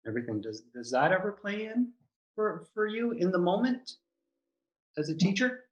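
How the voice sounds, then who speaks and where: Man with a higher voice in an echoey room. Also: normal speed, muffled recording. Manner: relaxed, thoughtful, stammering restarts. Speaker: improv teacher, guest. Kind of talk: casual interview chat.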